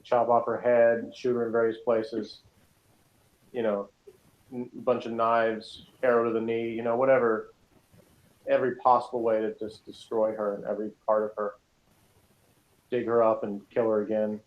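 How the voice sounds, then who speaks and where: A man's voice: mid-range voice, flat delivery, normal speed, long pauses, muffled recording. A man in a casual, opinionated discussion, rambling and qualifying his points, with some exaggeration for effect. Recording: roomy, echoing sound.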